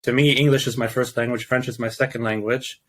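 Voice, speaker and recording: A young man born and raised in Canada, a guest in a casual interview, who talks haltingly with many restarts and fillers. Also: medium pitch, fairly even intonation.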